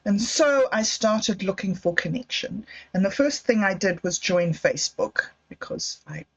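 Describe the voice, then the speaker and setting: A woman talks into her phone in a slow, musing vlog. She drifts between thoughts, turns emotional, and laughs at herself along the way. The sound is a bit muffled and lo-fi. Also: medium pitch, animated, creaky, breathy edge. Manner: musing, emotional, digressive, with self-mocking laughs. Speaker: vlogger. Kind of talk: reflective personal vlog monologue.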